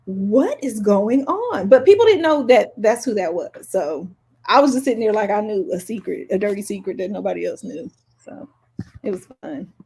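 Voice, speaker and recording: A woman co-hosting a podcast, chatting casually and telling personal stories in a playful, expressive way. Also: mid-range voice, moderate pace, gravelly, breathy edge. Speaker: podcast co-host. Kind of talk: casual chat, personal storytelling.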